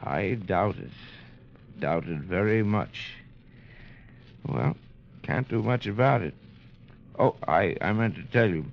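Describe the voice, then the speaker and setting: An actor playing a weary, worried, aging ship's captain in an old radio drama, speaking haltingly. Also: male voice, on the low side, animated, creaky, full character voice. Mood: weary, resigned. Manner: hesitant, halting. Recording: muffled vintage radio recording, faint noise.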